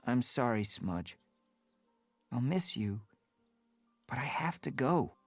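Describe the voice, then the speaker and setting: Rusty, a restless young tomcat in a storybook, earnest and determined, with a lively rising and falling voice and a dry close sound. A young house cat itching for the wild, as a male narrator plays him. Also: medium pitch, takes it slowly, no echo, faint music behind, voice pushed up, full character voice.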